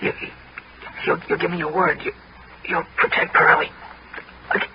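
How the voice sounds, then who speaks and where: A desperate dying convict in a 1960s radio play. His high voice comes in short, breathless, stammering bursts. The old recording is muffled, with clear noise. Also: level tone. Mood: urgent pleading. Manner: halting, gasping, stammering. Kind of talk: 1960s radio drama acting.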